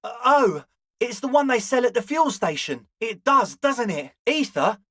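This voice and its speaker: A man's voice: very high-pitched, very animated, measured pace, fairly bright tone, no echo, studio quality, exaggerated voice. A man voicing an eager, flaky young male slacker ringleader in a video game, talking in an earnest, ingratiating, hedging way. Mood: casual, slackerish. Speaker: voice actor. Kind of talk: video game character dialogue.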